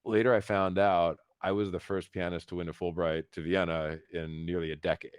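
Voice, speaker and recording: An American man telling his story casually as an interview guest, halting and repeating words with emphatic asides. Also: low-pitched, little pitch movement, clean tone, no echo, studio quality. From United States, Philadelphia. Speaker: interview guest, concert pianist.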